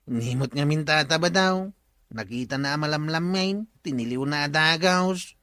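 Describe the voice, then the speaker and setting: A man's voice: on the high side, a little slow, sharp tone, dry recording, studio quality. A man playing a simple, cheeky village drinking buddy in a comedy radio drama. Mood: sheepish.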